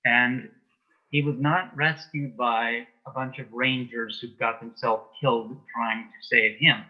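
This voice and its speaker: A man speaking as the guest in a casual interview, giving measured, concise answers. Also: medium pitch, steady tone, muffled recording. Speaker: interview guest, author and playwright.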